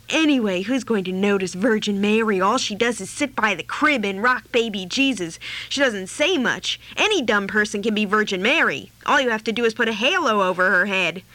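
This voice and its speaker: A woman's voice: medium pitch, pushed and bright. A woman voicing a gloating young boy in a told story, smug and dismissive.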